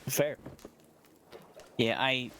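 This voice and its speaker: A man's voice: medium pitch, steady tone, drawn-out words, sharp tone, a little hiss or hum. A man talking casually as a guest co-streamer on a game stream. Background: music.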